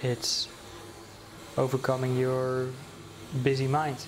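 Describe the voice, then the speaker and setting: A man guides a meditation in a slow, soothing voice that stays almost perfectly level in pitch. He pauses often and restarts his phrases as he leads the listener along. A faint hiss of noise sits under the recording. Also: completely flat delivery.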